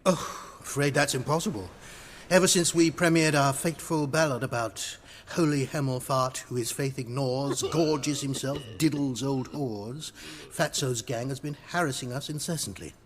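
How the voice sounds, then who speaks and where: A wry male troubadour played by a man from a fantasy game, speaking at a medium pitch. He is wordy and theatrical, with an aggrieved edge, over faint background noise. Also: normal speed, lightly acted. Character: persecuted male street performer, wry and theatrical. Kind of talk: video game voice acting.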